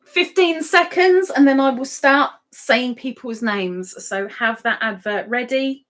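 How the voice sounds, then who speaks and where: Woman, livestream host, higher pitch, very unhurried pace, playful, chatty, casual. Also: a bit bright. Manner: playful, chatty, sing-song asides, repeats thanks. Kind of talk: casual live chat, reading out viewers' adverts.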